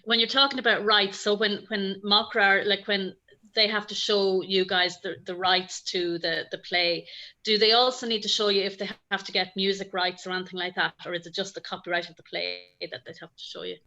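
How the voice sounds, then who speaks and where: A woman participant speaking casually and haltingly, as if working out her question. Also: mid-range voice, fairly even intonation, bright, forceful tone, lo-fi sound. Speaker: workshop participant asking questions.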